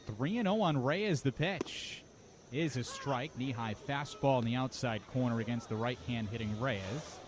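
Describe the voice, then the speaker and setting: A man's voice: mid-range voice, normal speed. A man calling a baseball game live on radio as the play-by-play announcer, describing the action in detail. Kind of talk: live radio sports commentary. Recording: clear outdoor ambient noise behind. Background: outdoor ballpark ambience.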